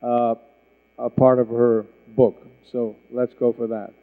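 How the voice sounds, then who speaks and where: A man moderating a literary festival panel, asking measured questions and searching for words. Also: medium pitch, level tone, phone-quality sound. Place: festival hall stage.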